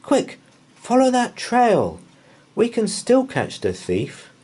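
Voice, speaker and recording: A man voicing an excitable, daring young boy detective in a storybook, with dramatic, exclamatory delivery. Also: very high voice, slow, husky, voice pushed up, heavily acted. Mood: excited.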